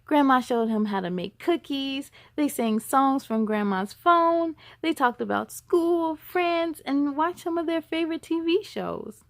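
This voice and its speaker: A woman reading a children's storybook aloud as a storytime host, with expressive, emphatic delivery. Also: sits high, a little slow, few pauses, dry recording. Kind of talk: animated children's storybook narration.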